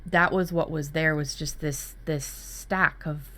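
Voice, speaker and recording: A woman's voice: low voice, fairly even intonation, takes it slowly, pushed and bright. A woman co-hosting a workshop in casual, informal chat with a blunt way of talking. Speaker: workshop co-host.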